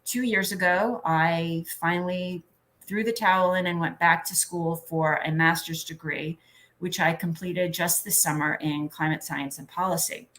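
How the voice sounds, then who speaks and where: A woman's voice: on the low side, steady tone, normal speed, fairly bright tone, poor audio. A woman on a panel, earnestly giving encouraging career advice. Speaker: panel guest.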